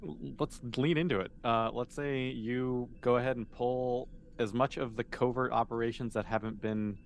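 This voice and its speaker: A man's voice: medium pitch, steady tone, normal speed. Encouraging male game master over background noise. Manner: encouraging, explanatory. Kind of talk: running a tabletop role-playing game, casual.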